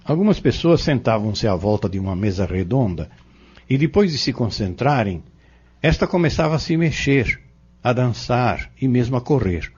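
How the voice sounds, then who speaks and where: Man acting a learned male mentor, Brazilian accent, husky, didactic, dull lo-fi audio novel recording. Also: medium pitch, big pitch swings, a little mellow. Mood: earnest, explanatory. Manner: didactic, measured, formal. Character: learned, patient male spiritist mentor explaining doctrine.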